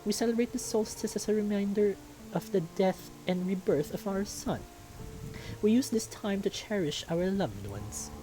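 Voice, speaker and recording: A man voicing a kindly, refined king in a visual novel, speaking in a gracious, formal, measured way. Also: sits high, lively intonation, music in the background, pitched above the performer's own voice, low-quality recording. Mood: gracious, pleased. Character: kindly, refined wise king of a fantasy realm.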